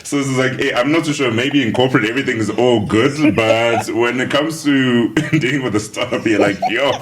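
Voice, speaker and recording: A man in his thirties from Zambia, a tech founder answering interview questions in a relaxed way, with fillers and chuckles. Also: medium pitch, fairly quick, crowd noise.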